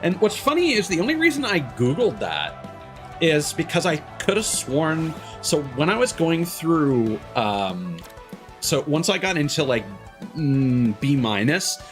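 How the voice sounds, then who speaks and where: A man's voice: medium pitch, big pitch swings, measured pace, faint music behind. A man chatting casually as he commentates a video game stream, rambling and often stopping to restart his sentences. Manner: rambling, self-interrupting, frequent stops and restarts. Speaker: live streamer.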